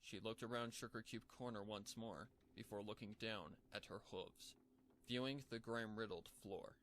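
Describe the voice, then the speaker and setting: A man reading a dark fan fiction story aloud as scripted narration. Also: lowish voice, fairly even intonation, normal speed, a little hiss or hum.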